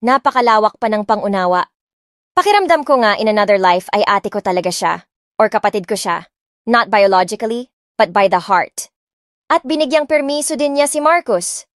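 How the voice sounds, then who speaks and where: A woman's voice: mid-range voice, expressive, moderate pace, a bit bright, no room sound, clean studio sound. A woman narrating a romance story in the first person, reading expressively with light dramatic touches. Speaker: audiobook narrator.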